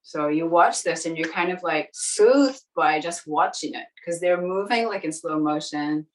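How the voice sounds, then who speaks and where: A woman in her forties from New Zealand, with a light New Zealand accent, chatting in a relaxed way as a podcast guest. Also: low voice, unhurried. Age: 40s. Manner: relaxed, chatty, laughs easily. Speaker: interview guest. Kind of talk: casual interview chat. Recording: distant from the mic.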